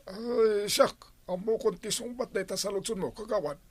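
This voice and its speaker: A woman's voice: mid-range voice, moderate pace, slightly rough. A woman with an Ilocano accent playing a griping villager in a radio comedy drama.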